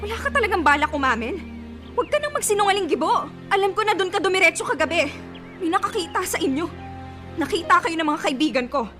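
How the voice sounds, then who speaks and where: A woman's voice: very high voice, animated, quick pace, over music. An actress playing a hot-tempered, betrayed young pregnant woman in a Filipino radio drama, with a Filipino accent and emotional, accusing delivery.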